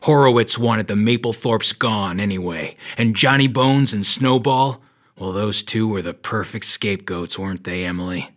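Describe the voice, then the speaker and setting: An actor playing a world-weary, dry private detective in a 1930s noir audio drama, terse and tough with a sardonic edge. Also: male voice, lowish voice, moderate pace, fairly bright tone, natural voice. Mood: accusing, hard.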